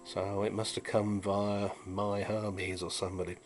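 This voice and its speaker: A man's voice: fairly low pitch, flat delivery, unhurried, soft background music. A middle-aged British man chatting casually as a hobbyist demonstrator, rambling in a dry, wry, musing way. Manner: wry, dryly humorous, musing. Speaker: vintage audio hobbyist and repairer presenting.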